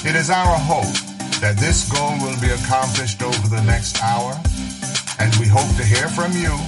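A man reading a formal scripted radio show introduction in a measured way. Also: mid-range voice. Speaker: radio announcer. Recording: voice over loud music. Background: loud music bed.

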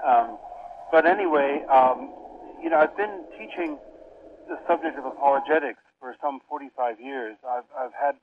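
A man's voice: mid-range voice, breathy edge. An older man who grew up in Europe, a seminary professor, answering interview questions thoughtfully with many hesitations. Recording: tinny phone line with faint hiss.

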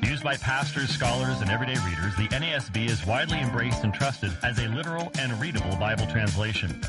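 A man's voice: mid-range voice, lively intonation, fast, over loud music. A man reading a scripted radio advertisement in an upbeat, promotional manner. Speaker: ad announcer.

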